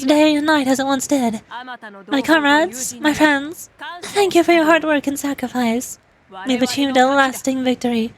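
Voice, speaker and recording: Woman voicing a high-pitched, confident warrior leader. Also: lightly acted. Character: bold, confident female warrior leader on a motorbike. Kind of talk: dramatic voice-over of video game dialogue. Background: music.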